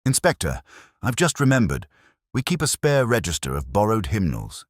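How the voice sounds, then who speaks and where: A man's voice: fairly low pitch, huge pitch swings, normal speed, dry recording, clean studio sound, pitched above the performer's own voice. A man voicing a polite, forthcoming vicar in a mystery story. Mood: urgent recollection.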